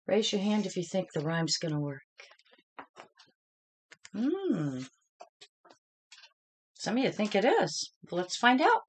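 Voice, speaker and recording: A woman reading a children's story aloud in a dramatic, expressive style, speaking to young listeners as she goes. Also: medium pitch, slow, pauses often, a little hiss or hum. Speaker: storybook reader.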